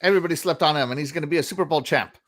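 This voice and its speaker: A man hosting a casual gaming podcast, upbeat and quick to laugh, with lots of fillers as he reads out the chat. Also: fairly high pitch, a bit bright. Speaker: podcast host reading chat.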